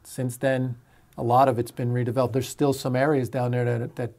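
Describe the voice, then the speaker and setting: A middle-aged American man, a fire chief, speaking in a sit-down interview in a halting way, repeating his phrases. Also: medium pitch, little pitch movement, darkish tone.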